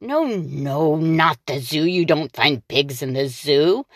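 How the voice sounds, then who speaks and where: A woman's voice: animated, slow pace, no echo, studio quality, exaggerated voice. A woman voicing a grouchy, hungry old witch who screeches and cackles as she schemes. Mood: scheming. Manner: shrill, gleeful, cackling.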